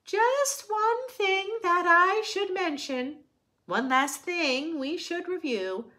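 A woman's voice: very high voice, lively intonation, slow, sharp tone, voice pushed up, exaggerated voice. A woman voicing a theatrical, pushy female keeper of a magic pet shop in a picture book, with dramatic, coaxing patter.

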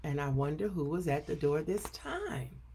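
An older woman reading a children's picture book aloud expressively, with deliberate, emphatic phrasing. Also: deep voice, big pitch swings, warm tone, husky. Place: home office room indoors. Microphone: webcam at desk distance.

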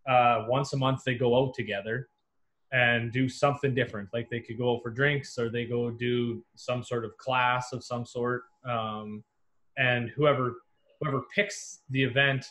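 A man's voice: mid-range voice, fairly bright tone. A young American man, a home builder, explaining casually as a panel guest on a video call. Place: small office with whiteboard wall. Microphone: computer mic on video call.

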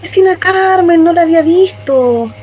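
A woman's voice: extremely high pitch, normal speed, a little mellow, soft background music. A woman with a Chilean accent playing a polite but defensive young neighborhood woman in a radio drama.

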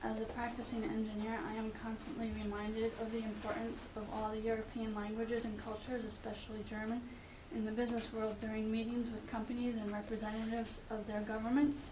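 A woman's voice: a little high, fairly quick, few pauses. Woman reading a letter, echoey and distant. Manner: steady, careful reading with occasional fillers. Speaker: parent speaking at public comment. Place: meeting room with audience. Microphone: far room camera. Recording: echoey room, distant camera, background noise.

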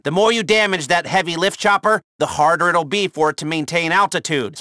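A man acting an excitable, wisecracking mission handler in a video game, sarcastic and emphatic. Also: very high voice, dry recording, clean studio sound, exaggerated voice.

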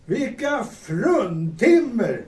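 A genial gossip acted by a man chats haltingly in an audio drama. The voice is high for a man. It comes from a little distance in an echoey room. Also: very animated, darkish tone. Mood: gossipy, amused. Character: genial gossipy male friend who enjoys feminine charm.

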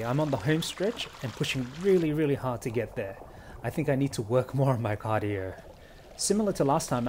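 A young man narrating a kayaking speed test in a measured, explanatory voice-over. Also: mid-range voice. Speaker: kayaking vlogger. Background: faint background music.

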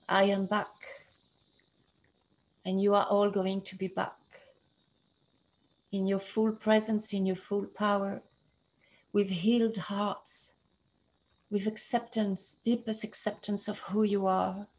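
A woman guiding a meditation in a slow, soothing, hushed and even voice. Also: mid-range voice, poor audio. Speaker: meditation guide. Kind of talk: guided meditation.

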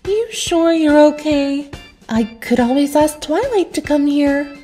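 A woman voicing a gentle princess mare and doting mother with a sweet manner. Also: high-pitched, lively intonation, a little slow, faint music behind, voice pushed down.

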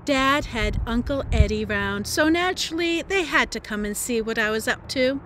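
A woman's voice: a little high, expressive, normal speed, a bit bright, slight background noise. An American woman reading aloud as a cheeky, self-absorbed teenage girl diarist, with a wry, melodramatic manner. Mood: exasperated. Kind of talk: expressive first-person audiobook-style reading.

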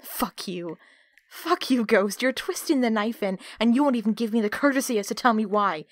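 A woman playing a betrayed, defiant captive in an audio drama, speaking with hurt, accusing, sharp-tongued emotion. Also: on the high side, animated, moderate pace, dry recording, studio quality, natural voice. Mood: angry, accusing. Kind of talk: audio roleplay acting.

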